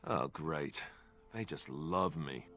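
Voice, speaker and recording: A man's voice: rumbling low, expressive, slow pace, bright, forceful tone, slightly rough, soft background music, exaggerated voice. A man playing a self-deprecating young warrior in a video game, sarcastic and joking. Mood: wry.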